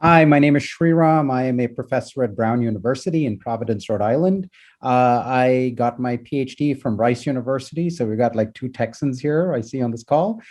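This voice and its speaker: A man from India, a professor on a video-call panel, talking quickly and lively with restarts. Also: medium pitch. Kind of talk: panel discussion.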